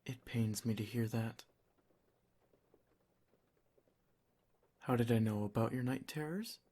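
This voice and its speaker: A man playing a doting, protective vampire lord in an ASMR roleplay, soft-spoken, formal and reassuring. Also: mid-range voice, moderate pace, close to an everyday voice. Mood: concerned, gently teasing.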